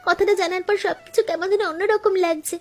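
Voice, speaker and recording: A woman's voice: very high voice, steady tone, quick pace. A woman playing an eager, sentimental younger sister and daughter-in-law in a cartoon story, speaking earnestly. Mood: moved.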